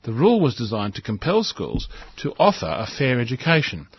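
A man's voice: mid-range voice, huge pitch swings, a little fast, breathy edge, dull audio. Emphatic man reading editorial. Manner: earnest, emphatic commentary with repeated restarts. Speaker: radio host reading an editorial. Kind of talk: reading a newspaper editorial with comment.

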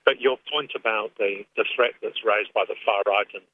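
A man's voice: fairly low pitch, fairly even intonation. English man on a tinny phone line. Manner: earnest, measured, with frequent restarts. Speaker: activist guest. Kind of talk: radio phone interview.

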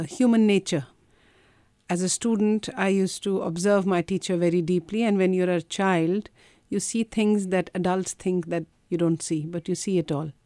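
Clear, smooth Indian-accented woman. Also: medium pitch, a little mellow, no echo, clean studio sound. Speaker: dance teacher and interview guest. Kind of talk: reflective personal storytelling in an interview.

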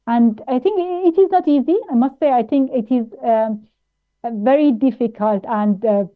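A woman from Iran, raised in Austria, speaking as an interview guest in a halting way with many fillers and restarts. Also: on the high side. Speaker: guest, plant-based nutritionist. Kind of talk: interview conversation. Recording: tinny phone-like line.

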